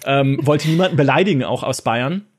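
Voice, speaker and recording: Husky-voiced man telling game stories. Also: mid-range voice, a little fast. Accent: Baden German. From Baden, Germany. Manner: animated, laughs often, acts out game lines. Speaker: podcast co-host. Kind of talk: casual podcast chat.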